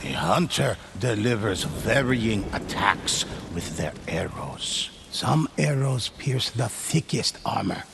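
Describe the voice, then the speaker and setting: A man playing a solemn storyteller in a game, narrating samurai-era legends in a measured, hushed, dramatic way with a gravelly voice. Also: on the low side, expressive, noisy background, full character voice. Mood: solemn, instructive.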